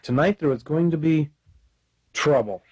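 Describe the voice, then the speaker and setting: An actor playing a wry, hard-boiled 1940s Chicago private detective in a radio play, with a deadpan manner. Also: male voice, on the high side, unhurried, heavy background noise, lightly acted.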